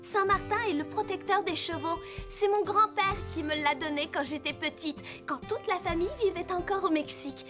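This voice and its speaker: A gentle, sentimental teenage girl in a cartoon, speaking softly and earnestly. Her voice is very high, with music clearly behind it. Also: normal speed, few pauses. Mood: fond. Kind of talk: French cartoon dub.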